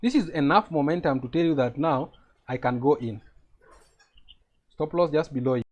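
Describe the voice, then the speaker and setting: A man's voice: a little high, normal speed, darkish tone, dull audio. A man with a Kenyan accent teaching a live trading lesson over a video call, explaining step by step and checking that his students follow.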